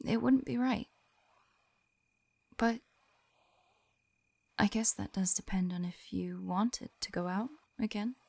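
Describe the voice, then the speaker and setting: A young woman playing a gentle, shy lamia on a first date in an intimate ASMR roleplay, soft-spoken and often whispering, hesitant and tender, close to the microphone. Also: takes it slowly, long pauses, no echo. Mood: soothing, affectionate. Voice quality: soft, breathy whispering mixed with low murmurs. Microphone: close-up microphone, dry sound.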